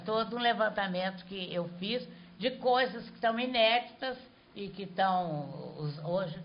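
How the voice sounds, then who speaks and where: An older woman speaking Brazilian Portuguese, an artist answering questions after a talk, telling lively stories and laughing as she goes. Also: mid-range voice. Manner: lively storyteller, laughs while telling anecdotes. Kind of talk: lecture Q&A, conversational. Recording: muffled lo-fi hall recording with faint noise.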